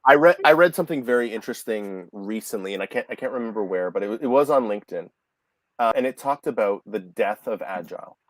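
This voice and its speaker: A middle-aged man with a Canadian accent hosting a casual video call chat, animated and often restarting his words. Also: on the low side, fast, no echo, rough recording. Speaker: host. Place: office room, indoors. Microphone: video call webcam.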